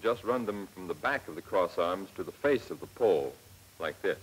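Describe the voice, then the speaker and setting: An American man narrates a 1940s army training film with a lowish, rough-edged voice. He instructs step by step in a plain, measured way. The sound is that of an old film soundtrack. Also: expressive, poor audio. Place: scripted voice-over for film.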